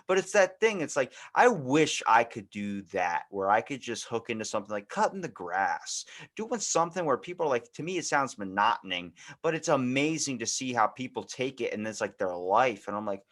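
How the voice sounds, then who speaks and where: A man's voice: medium pitch, sing-song, normal speed, hardly any pauses, a bit bright. Casual, slangy young man chatting. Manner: slangy, swearing, acts out quoted dialogue. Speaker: podcast host. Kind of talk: casual, rambling podcast chat.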